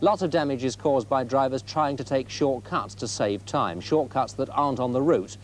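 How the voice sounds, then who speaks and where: A man's voice: mid-range voice, moderate pace, slight background noise. A British man presenting a training film, narrating scripted lines and putting articulate questions as an interviewer.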